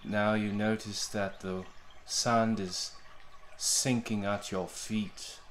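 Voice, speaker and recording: Male meditation guide, gentle and even-toned, very slow delivery, faint background noise with water sounds. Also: fairly low pitch. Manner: gentle, unhurried, with long pauses between short phrases. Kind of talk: guided meditation, soothing narration.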